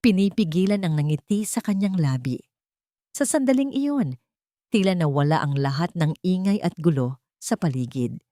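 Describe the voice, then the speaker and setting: A woman's voice: on the low side, expressive, moderate pace, mellow, no echo, studio quality. A woman narrating a scripted romantic story in an emotive, dramatic way. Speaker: narrator of romantic audio stories.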